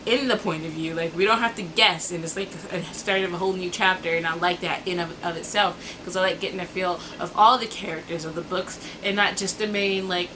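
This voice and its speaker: Low-voiced woman chatting over background noise. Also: fairly even intonation. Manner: exasperated asides with drawn-out emphasis; informal, slangy phrasing. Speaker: book vlogger. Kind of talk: casual chatty book review to camera.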